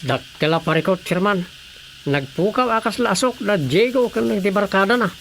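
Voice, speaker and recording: A woman with an Ilocano accent playing a brisk, inquisitive village councilor in a radio drama comedy. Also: medium pitch, slight background noise, close to an everyday voice. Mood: concerned.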